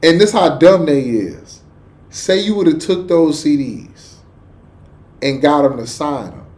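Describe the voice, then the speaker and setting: A man gives casual reaction commentary in a clearly high voice for a man. He talks in slang and leans hard on his points. He often circles back and repeats his phrases. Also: lively intonation, slow pace, darkish tone. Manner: slangy, emphatic, repeats himself. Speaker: reaction show host.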